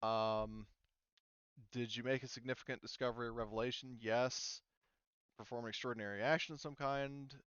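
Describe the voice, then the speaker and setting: A man's voice: medium pitch, steady tone, normal speed, fairly bright tone, clear, resonant tone, no echo, dull audio. A man working as game master, casually explaining tabletop game rules in an informal way.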